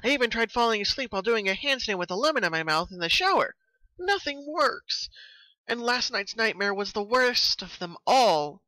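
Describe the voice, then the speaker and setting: A man acts out a young female party pony from a cartoon story, pitched very high and emotional. She is anxious and tearful, pleading and stumbling over her words, with a bright, forceful edge. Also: expressive, normal speed, dry recording, low-quality recording. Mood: weary, exasperated. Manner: stammering, pleading, emphatic.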